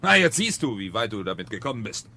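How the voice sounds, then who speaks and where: A man's voice: lowish voice, sing-song, fast talker, sharp tone, a little hiss or hum, heavily acted. An actor playing a smug, menacing fire captain who lectures his fallen subordinate in a mocking, pontificating way, in 1970s German radio drama style. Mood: scornful.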